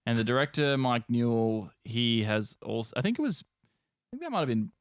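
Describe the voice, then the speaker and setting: A man's voice: mid-range voice, big pitch swings, normal speed, no echo. Man, podcast co-host, blunt, sweary, casual chat, dry recording. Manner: blunt, profane.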